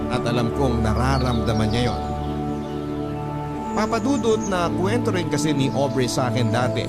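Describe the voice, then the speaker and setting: An actor playing a devoted young man narrating his love story in a scripted, earnest and measured way. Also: medium pitch, natural voice. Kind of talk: scripted first-person letter narration in radio drama. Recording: loud music bed under the voice. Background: loud background music.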